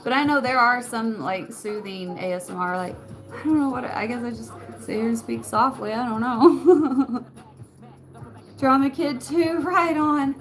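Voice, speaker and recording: Soft-spoken woman with a country twang. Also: on the high side, a little slow. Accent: rural Western American. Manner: soft-spoken, laughs often. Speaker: channel host. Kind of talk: casual live chat answering comments. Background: background music.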